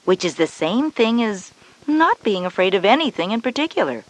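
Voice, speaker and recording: Woman as a calm, comforting mother. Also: medium pitch, normal speed. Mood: calm, reassuring. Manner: gentle, reasoning. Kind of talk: scripted educational audio drama.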